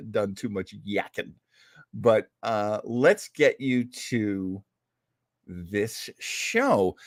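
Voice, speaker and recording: Animated man chatting as a radio host. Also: medium pitch, no room sound, studio quality. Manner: rambling, animated storyteller with wry asides. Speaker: host of an old-time radio show program. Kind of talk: casual chatty introduction to vintage radio drama.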